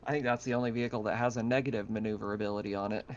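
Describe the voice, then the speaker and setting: A man's voice: on the low side, steady tone, rapid-fire, slight background noise. A man running a tabletop game as game master over online voice chat, chatting and narrating casually. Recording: slightly muffled online voice call.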